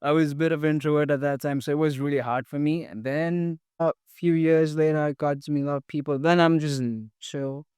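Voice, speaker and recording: A young man from India speaks in a smooth, clear voice, recorded close and dry with no room sound. He talks casually and haltingly, full of ums and restarts, as he tells his story to a podcast host. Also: fairly high pitch, fairly even intonation, a little mellow, no room sound. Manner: hesitant, many fillers and restarts. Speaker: podcast guest. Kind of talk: casual interview, sharing personal story.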